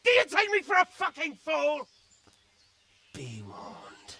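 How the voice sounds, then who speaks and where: An actor playing a menacing, foul-mouthed medieval villain lord in a video game, sneering and threatening. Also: male voice, very high voice, wildly swooping pitch, takes it slowly, sharp tone, exaggerated voice. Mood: furious threatening. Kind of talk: dramatic video game acting.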